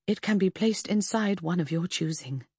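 Casting: earnest female professional, played by a woman narrator, firm yet apologetic, placating, husky voice, dry storybook sound. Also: on the low side, expressive, normal speed, darkish tone, no echo, heavily acted. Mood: earnest, insistent. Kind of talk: audiobook character acting.

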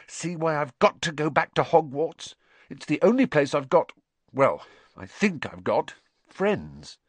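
A man's voice: fairly high pitch, very animated, a little slow, no echo, voice pushed up. A man voicing an earnest young boy, urgent and a little desperate.

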